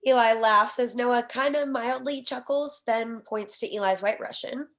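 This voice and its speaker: A woman's voice: a little high, normal speed, a bit bright. A woman reading out the stage directions of a comedy screenplay as scripted narration.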